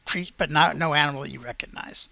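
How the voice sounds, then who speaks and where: A woman running a tabletop game as game master, casually describing things in a halting, self-correcting way. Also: deep voice, gravelly, bright, forceful tone, lo-fi sound.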